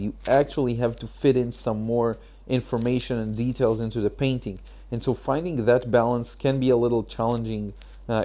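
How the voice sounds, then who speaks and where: A man with a hoarse, achy throat, hosting a casual solo podcast, talking haltingly with many fillers. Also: medium pitch, mellow. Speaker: podcast host.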